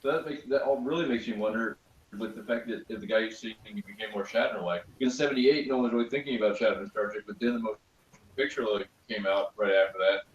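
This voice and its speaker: A man with a lowish voice chats quickly and informally as a review panelist. He sounds set back from the mic in a roomy space. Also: far from the mic, highs cut off. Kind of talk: casual chat.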